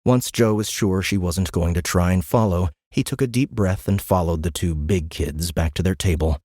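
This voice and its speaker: A man's voice: low-pitched, normal speed, darkish tone, no room sound, clean studio sound. A man narrating a science fiction audiobook in a scripted, dramatic storytelling style.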